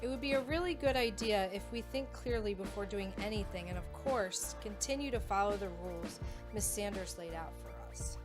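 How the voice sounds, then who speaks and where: A woman's voice: mid-range voice, a little fast, over music, close to an everyday voice. A woman playing a bold, sensible, outspoken young student in an audio drama. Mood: serious, firm. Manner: forthright, outspoken.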